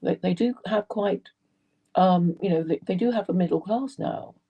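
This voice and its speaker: Gravelly, husky woman. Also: on the low side, moderate pace, mellow, dull audio. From England. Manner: hesitant, repeats words. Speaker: guest. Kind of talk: live online discussion.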